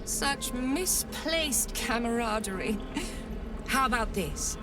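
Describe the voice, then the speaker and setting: A woman's voice: a little high, animated, slow, far from the mic. A woman voicing an imperious, cruel cult matriarch in a fantasy video game, speaking in a haughty, condescending way. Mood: cold, persuasive. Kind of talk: theatrical game dialogue. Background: background music.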